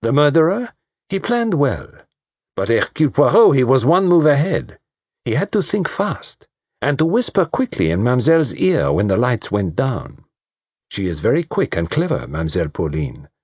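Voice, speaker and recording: A man voicing a fussy, commanding detective, pompous and precise, in a slightly husky voice. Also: mid-range voice, big pitch swings, normal speed, darkish tone, no room sound, studio quality, voice pushed up. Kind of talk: audiobook reading.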